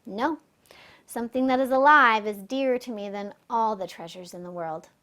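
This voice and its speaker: A woman's voice: fairly high pitch, moderate pace, pushed and bright. A woman storyteller voicing a sly, demanding little magical man in a children's fairy tale.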